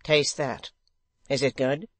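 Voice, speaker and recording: A woman's voice: steady tone, no echo, full character voice. Woman doing a sly old blind pilgrim. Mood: coaxing. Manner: coaxing, insinuating, low and measured.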